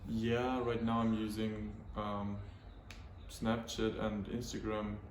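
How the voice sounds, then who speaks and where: Male, late teens, student interviewee; lowish voice, roomy recording with faint noise. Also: monotone, slow pace. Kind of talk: casual interview answers.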